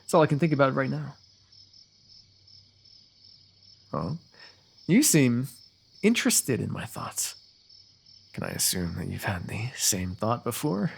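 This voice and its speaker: A man playing a lovestruck, eloquent fantasy royal in a romantic ASMR roleplay, talking intimately and poetically with gentle teasing. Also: mid-range voice, big pitch swings, moderate pace, creaky, husky, heavily acted. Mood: wistful, flirtatious. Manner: intimate, poetic, talkative, softly amused.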